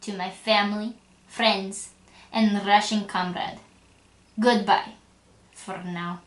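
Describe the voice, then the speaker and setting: A man's voice: sits high, fairly even intonation, slow, fairly bright tone, voice pushed up. A man voicing a boastful, crude Russian man in a comic monologue, with an exaggerated mock Russian accent and broken grammar. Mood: deadpan bragging. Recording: roomy echo with faint music.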